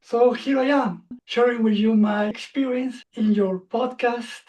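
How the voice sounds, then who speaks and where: A man in his forties, speaking English as a second language, telling his story as a coach guest in a reflective, halting way. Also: very high-pitched, fairly even intonation, darkish tone, far from the mic, poor audio. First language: not English. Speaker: social anxiety coach. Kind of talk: interview guest, personal story.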